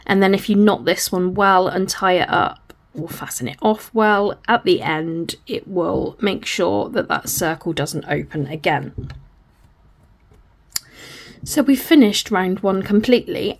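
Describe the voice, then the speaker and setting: Woman, hoarse, patient step-by-step craft tutor, filmed at a crafting table. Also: mid-range voice. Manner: patient, apologetic, talks through each hand movement. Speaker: crochet tutor.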